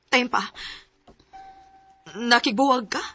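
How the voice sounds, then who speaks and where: A woman's voice: a little high, slow pace, sharp tone, full character voice. An actress playing a hesitant, heartbroken young woman in a radio drama, with a Cebuano Filipino accent. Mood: hurt, pleading. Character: heartbroken young woman telling her love story, pleading, hesitant.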